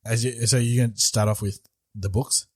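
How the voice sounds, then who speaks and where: A man chats as a podcast guest in a blunt, jokey way and teases the others. His voice is husky and mid-pitched. It sounds dry and close. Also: steady tone, normal speed, darkish tone, no echo. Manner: blunt, jokey, swears, teasing. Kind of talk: casual podcast chat.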